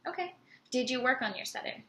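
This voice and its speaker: A young woman interviewing a patient, asking steady questions with some fillers. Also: high-pitched, fairly quick, a little hiss or hum. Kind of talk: clinical interview.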